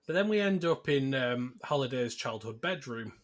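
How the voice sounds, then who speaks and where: A man's voice: medium pitch, animated, moderate pace. A man hosting a podcast, recounting a film casually and jokily, acting out bits as he goes. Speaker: podcast host. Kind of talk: casual film recap chat.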